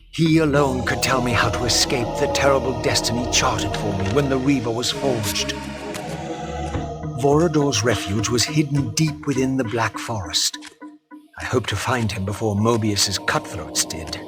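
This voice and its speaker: Man acting a solemn undead wraith, loud music. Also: medium pitch, close to an everyday voice. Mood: solemn, resolute. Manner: solemn, formal, measured. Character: grave, defiant undead male wraith seeking his destiny. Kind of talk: theatrical scripted game narration.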